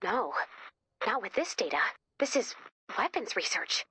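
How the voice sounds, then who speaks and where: A woman playing an eager, curious young researcher who guides players in a video game, chatty and expressive as she thinks aloud. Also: medium pitch, measured pace, slightly rough, exaggerated voice. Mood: wary. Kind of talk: scripted video game dialogue.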